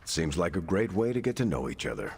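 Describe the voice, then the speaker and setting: A man playing an affable, plain-spoken superhero teammate with a deadpan manner in video game cutscene dialogue. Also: low-pitched, brisk, creaky, breathy edge, full character voice. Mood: friendly.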